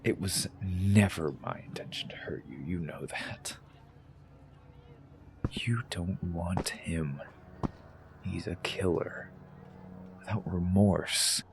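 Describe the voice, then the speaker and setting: An actor playing a brooding, wounded rebel killer in an audio drama, persuasive and menacing, intense and often dropping toward a whisper. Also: male voice, low voice, slow pace, vocal fry, long pauses, noisy background, full character voice. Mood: pleading.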